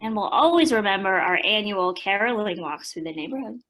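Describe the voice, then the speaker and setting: A woman's voice: mid-range voice, expressive, brisk, fairly bright tone, low-quality recording. An actress playing a spirited young woman who narrates family life in a radio play. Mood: fond.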